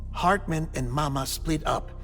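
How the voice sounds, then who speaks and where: Wry, higher-pitched male comrade, music behind. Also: measured pace, fairly bright tone. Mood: earnest, explanatory. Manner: conversational, wry, explanatory. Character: wry, talkative male comrade explaining a rescue. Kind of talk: video game cutscene dialogue.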